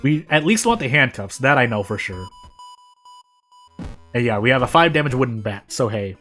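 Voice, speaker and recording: A man's voice: medium pitch, sing-song, moderate pace, fairly bright tone. An American man giving casual live commentary as a game streamer, rambling and often correcting himself as he goes. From United States. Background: music.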